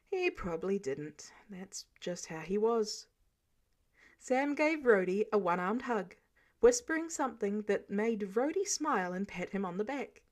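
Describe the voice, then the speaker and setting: A woman reads fiction aloud as an amateur audiobook narrator. Her voice sits at a medium pitch. The delivery is scripted storytelling. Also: big pitch swings, unhurried.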